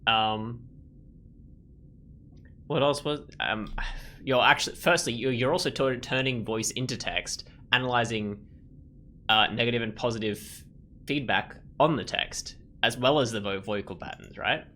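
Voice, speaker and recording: Bright-voiced man giving casual game commentary. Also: mid-range voice, slight background noise. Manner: thinking aloud, halting. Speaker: gaming video creator. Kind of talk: casual let's play commentary.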